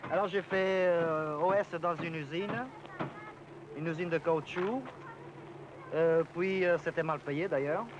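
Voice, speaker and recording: A man from Portugal, answering interview questions hesitantly, with many pauses and fillers. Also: on the high side, steady tone, muffled recording. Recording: noisy outdoor location sound.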